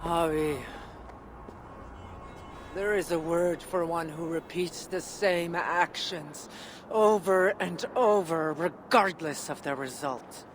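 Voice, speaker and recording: A woman's voice: fairly low pitch, slow pace, background noise. An actress playing a menacing, scornful queen of the underworld in a video game, taunting and theatrical. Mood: mocking. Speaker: voice actor. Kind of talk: dramatic video game acting.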